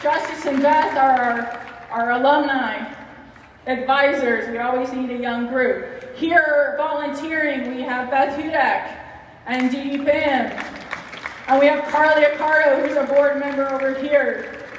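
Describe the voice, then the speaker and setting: A woman's voice: sits high, steady tone, measured pace. A woman hosting a ceremony as the organization's leader, speaking informally and off the cuff. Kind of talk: informal unscripted ceremony address. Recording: echoey distant PA with faint noise.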